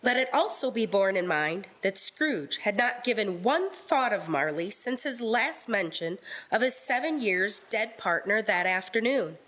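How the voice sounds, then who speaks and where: A woman's voice: mid-range voice, lively intonation, a little slow, hardly any pauses, a bit bright. A woman reading scripted story narration in the style of a radio play.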